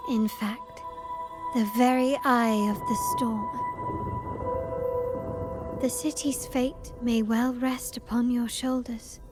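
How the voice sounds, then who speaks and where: Cryptic, elegant stranger, slightly high voice, over game music. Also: female voice. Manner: measured, elegant, teasing. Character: poised, enigmatic adult woman, knowing and cryptic. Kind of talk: game cutscene voice acting. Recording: music score behind.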